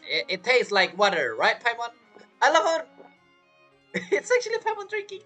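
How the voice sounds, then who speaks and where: A man plays through a game and reacts casually to its dialogue. His voice is bright and very lively in pitch, amused and halting, with faint music behind it. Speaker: gaming content creator playing through a story quest. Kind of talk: casual live game commentary.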